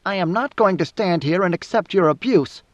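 A man voicing a nervous, sulky fat male conspirator in an audiobook, with a squeaky, blurting delivery. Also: expressive, moderate pace. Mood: indignant. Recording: roomy, slightly muffled recording.

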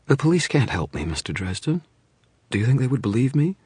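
Man voicing a tearful frightened young mother, raised pitch, raspy, pleading. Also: very animated, dry recording. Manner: breathless pleading, rushing then hushed.

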